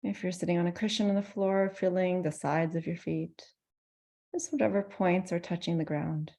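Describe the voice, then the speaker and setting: A woman leads a guided meditation in a soft-edged, mellow voice with a good deal of creak. Her pitch sits slightly low. She speaks gently and soothingly, leaving space between phrases. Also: steady tone, normal speed. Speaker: meditation teacher.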